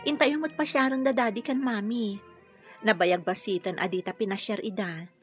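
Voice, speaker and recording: An actress playing a sweet, forgiving young wife in a radio drama, with an affectionate, gentle manner. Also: female voice, mid-range voice, steady tone, moderate pace, faint music behind, natural voice. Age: young adult. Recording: dull lo-fi radio recording.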